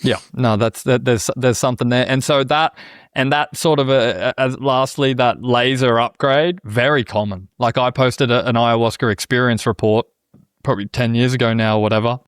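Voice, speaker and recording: A man's voice: medium pitch, fairly even intonation, normal speed, smooth, dry recording, clean studio sound. A man in his thirties hosting a podcast, talking in a rambling, earnest way with many restarts and frequent check-ins with his listener. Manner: rambling and earnest, many restarts, often says "you know what I mean". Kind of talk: casual conversation.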